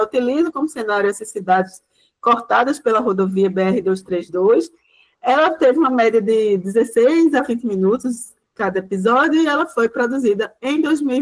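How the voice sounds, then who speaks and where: A woman's voice: fairly high pitch, rough recording. A woman from Brazil, giving an informal lecture in a conversational, explanatory way with frequent tag questions. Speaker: university lecturer. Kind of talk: informal lecture presenting a project.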